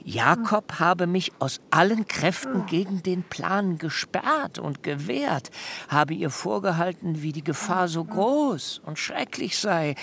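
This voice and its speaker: An actor playing a fearful, self-excusing biblical fugitive who pleads in wordy, theatrical rhetoric in a literary radio drama. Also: male voice, high-pitched, slight background noise, exaggerated voice. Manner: theatrical, beseeching, rhetorical questions, hushed dips into whisper.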